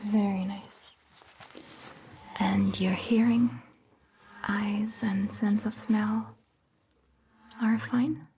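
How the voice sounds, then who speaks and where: A woman playing a gentle, reassuring vampire doctor in a whispered, breathy ASMR roleplay, soothing and patient. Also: medium pitch, flat delivery, slight background noise. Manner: slow, soothing, patient explaining.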